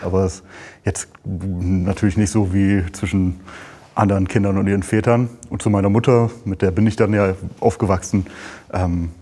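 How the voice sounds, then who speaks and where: A man in his early thirties talking about himself in an earnest, measured way. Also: deep voice, steady tone, warm tone, slightly rough. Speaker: trainee pastor. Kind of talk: personal conversation.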